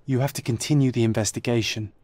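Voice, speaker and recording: A man's voice: mid-range voice, fairly even intonation, quick pace, darkish tone, no room sound, close to an everyday voice. An actor playing a detective's young male companion in a video game, casual and bossy, flippant yet protective. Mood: protective, urging. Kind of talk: video game cutscene acting.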